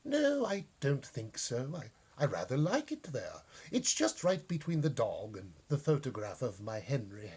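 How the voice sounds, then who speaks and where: A man voicing a polite, practical and quietly stubborn elderly English widow in a storybook reading, mild and matter-of-fact. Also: mid-range voice, wildly swooping pitch, a little slow, few pauses, breathy edge, exaggerated voice. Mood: politely firm. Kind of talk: storybook character dialogue.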